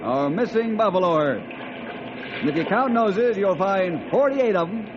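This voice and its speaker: Confident ranger hero, high voice, plainspoken, reassuring, muffled old radio drama, noisy. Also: male voice, animated, measured pace. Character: steady, confident heroic western ranger man.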